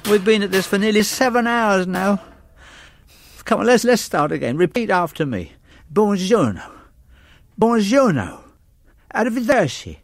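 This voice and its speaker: An actor playing a bumbling, hapless man who talks in an excitable, fumbling way. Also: high-pitched, moderate pace, long pauses, dry recording, clean studio sound, exaggerated voice. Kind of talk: sitcom acting.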